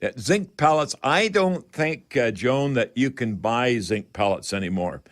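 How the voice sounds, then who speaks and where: A man hosting a radio call-in show, giving home improvement advice in a halting, folksy, rambling way. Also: medium pitch, expressive, slight background noise. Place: radio studio.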